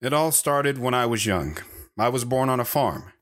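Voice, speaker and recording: A man's voice: medium pitch, big pitch swings, fairly bright tone. Man playing a reserved, philosophical drifter. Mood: wistful. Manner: measured, reflective, reserved. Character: world-weary, philosophical wandering man, polite and cryptic. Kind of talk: audio drama acting.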